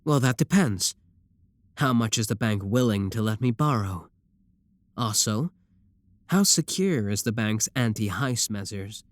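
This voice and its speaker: Man acting a deadpan devoted yandere sibling, close-sounding. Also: on the low side, a little slow, no echo. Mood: proud, deadpan. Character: devoted, earnest yandere kidnapper sibling, matter-of-fact. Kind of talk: scripted ASMR roleplay acting.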